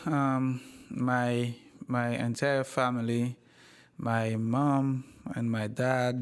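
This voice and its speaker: A man from Haiti, a university president, telling his life story in an interview in a slow, measured way with frequent pauses and repeated words. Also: mid-range voice, clear, resonant tone.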